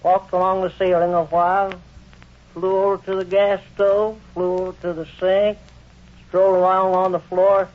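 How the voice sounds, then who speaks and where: Man acting a high-pitched rambling old uncle. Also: flat delivery, moderate pace, lightly acted. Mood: absorbed, folksy. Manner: folksy, digressive muttering to himself. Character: rambling, oblivious old uncle, folksy and cranky. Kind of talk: old-time radio comedy acting. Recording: dull, muffled old radio recording.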